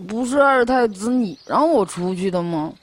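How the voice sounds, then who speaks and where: A woman's voice: mid-range voice, lively intonation, moderate pace, natural voice. A woman playing a chatty, eager young servant boy in a Chinese audio drama, gossipy and deferential to the master.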